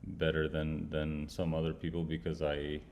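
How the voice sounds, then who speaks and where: A young man hosting an interview, asking questions in a halting way with many fillers. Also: a bass voice, monotone, darkish tone, slight background noise. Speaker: medical student host. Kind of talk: interview host.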